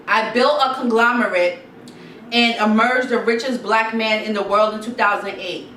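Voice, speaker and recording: A young woman with a Guyanese accent, chatting casually to camera in a sit-down advice vlog, reading quotes and repeating points for emphasis. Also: a little high. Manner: animated, chatty, repeats herself, reads quotes aloud. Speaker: YouTube vlogger. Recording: roomy echo, slightly distant mic, faint noise.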